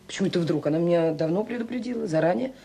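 Middle aged mom in a radio play, homely n a bit bossy, natural delivery, slight noise. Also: female voice, on the low side, very fast, gravelly, dark tone. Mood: evasive, brisk. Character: devoted, gently bossy middle-aged mother of a grown son. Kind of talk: naturalistic Soviet radio drama acting.

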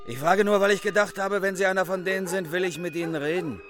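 An actor playing a middle-aged, embittered and self-loathing racetrack technician in a German radio crime drama, confiding in an edgy, rambling way. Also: male voice, sits high, fairly even intonation, fast, lightly acted. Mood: nervous, probing.

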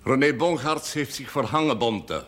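An actor playing a dignified, dry-witted village priest in a television drama, speaking formally and with authority. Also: male voice, mid-range voice, lively intonation, normal speed, a bit bright. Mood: grave.

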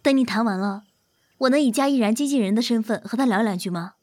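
A woman's voice: fairly high pitch, quick pace, a little mellow, no echo, studio quality, close to an everyday voice. A woman playing a courteous, protective celebrity manager in an audio drama, with a formal, polite and composed manner. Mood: businesslike.

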